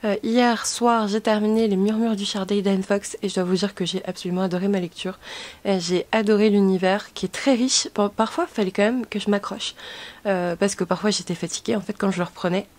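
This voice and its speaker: A woman's voice: mid-range voice, steady tone, fairly quick, a little mellow. A woman chatting casually to camera as a book vlogger, in a rambling, self-correcting way.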